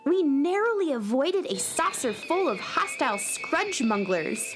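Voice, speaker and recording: A woman's voice: high-pitched, very animated, measured pace, music in the background. A woman playing a plucky young space cowgirl who narrates and acts in a children's radio serial. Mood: excited storytelling.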